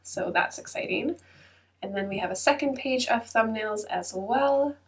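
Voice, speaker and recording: A woman's voice: a little high, unhurried, recorded from a distance. A woman hosting her own channel, chatting casually over a flip-through with a congested, stuffy-nosed voice and plenty of ums and hedges. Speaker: channel host. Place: at her computer.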